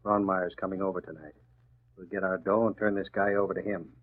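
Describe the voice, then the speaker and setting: An actor playing a tough, short-tempered gangster boss, barking slangy threats in a 1940s radio drama. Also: male voice, low voice, fairly even intonation, brisk, slightly rough. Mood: commanding. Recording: tinny, narrow-band old radio recording.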